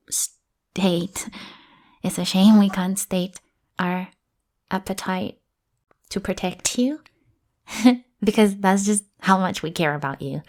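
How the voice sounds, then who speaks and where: Roleplay bodyguard, female, acted by a woman: slightly low register, very unhurried, lovestruck and awkwardly earnest, prone to flustered self-correction. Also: a little mellow. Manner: awkward, earnest, slips and hastily corrects herself. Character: devoted, flustered young female bodyguard, hopelessly lovestruck and clingy. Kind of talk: acted audio roleplay addressed to listener.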